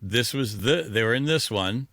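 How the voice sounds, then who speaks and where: A man's voice: medium pitch, lively intonation, moderate pace, bright, forceful tone, no echo. A man co-hosting a podcast, talking casually about films in a rambling way, often interrupting himself. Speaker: podcast co-host. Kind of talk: casual film discussion.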